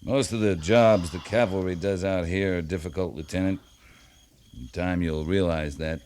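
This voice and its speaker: An actor playing a seasoned, decisive American cavalry captain who gives clipped, authoritative orders in a 1950s radio western. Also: male voice, sits low, fairly even intonation, moderate pace, darkish tone, natural voice. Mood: grave, measured. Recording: noisy 1950s radio broadcast recording.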